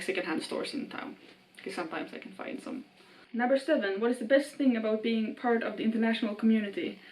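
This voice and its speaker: A young woman from Sweden with a Swedish accent, vlogging casually about books in a hesitant, self-deprecating way, trailing off quietly. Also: mid-range voice, far from the mic, rough recording. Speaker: book vlogger. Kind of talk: casual vlog answering a tag.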